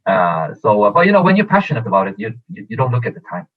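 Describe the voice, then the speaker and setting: A man who grew up in Sweden, a football agent answering interview questions in a measured way with many fillers and restarts. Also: on the low side, very animated. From Iran, raised in Sweden. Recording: tinny phone-like audio.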